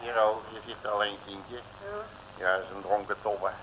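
An actor playing a brash, jovial Dutch detective with colloquial, wisecracking bluster in an old radio comedy serial. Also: male voice, fairly low pitch, fairly even intonation, moderate pace, natural voice. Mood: busy, conspiratorial. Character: brash, jovial, scrappy male detective, street-smart joker. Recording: noisy old radio recording.

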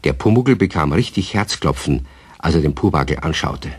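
A man narrating a radio play for children in an expressive storytelling manner. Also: rumbling low, normal speed, husky, no echo.

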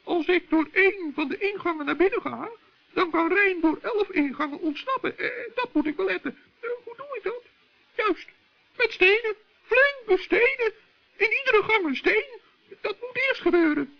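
A man playing a plucky, bossy little forest gnome in a children's radio play, excitable and repeating himself with stammers. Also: normal speed, full character voice. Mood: determined, pondering. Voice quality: high, squeaky, creaky. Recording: muffled old radio play recording.

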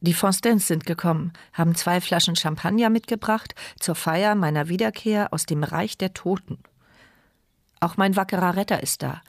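A woman's voice: on the low side, moderate pace, dry recording, clean studio sound, natural voice. An actress playing a mute, paralysed woman who narrates her inner thoughts in an intimate, urgent voice in a radio drama. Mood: wry. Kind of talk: first-person radio drama monologue.

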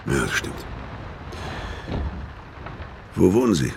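An actor playing a weary, middle-aged ex-convict who narrates and speaks in a radio crime drama, laconic and guarded, with a gravelly voice. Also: male voice, low-pitched, big pitch swings, a little fast, a little hiss or hum, heavily acted. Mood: guarded, subdued. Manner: laconic, understated. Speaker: narrator and lead character. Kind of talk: German radio crime drama, first-person narration and dialogue.

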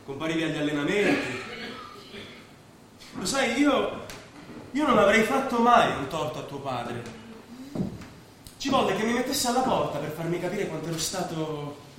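An actor playing a proud but uneasy penniless young athlete in an Italian stage play, protesting earnestly. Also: male voice, sits high, big pitch swings, normal speed, long pauses, fairly bright tone. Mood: uneasy, firm. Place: echoey theatre stage. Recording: echoey theatre recording, distant mic, faint noise.

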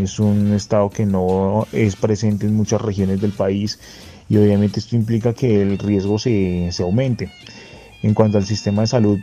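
Colombian guy explaining stuff, really mellow low voice, kinda hesitant, informal report. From Bogotá, Colombia. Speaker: guest correspondent sending an audio report. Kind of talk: informal analytical spoken report. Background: faint guitar music.

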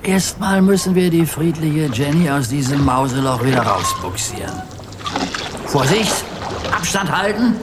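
A man's voice: a little high, lively intonation, very noisy. An actor playing an old grandfather who acts as a ship's captain, humouring and nautical, in a German children's radio play. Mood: commanding, nautical. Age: older. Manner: unhurried, humouring, mock-nautical.

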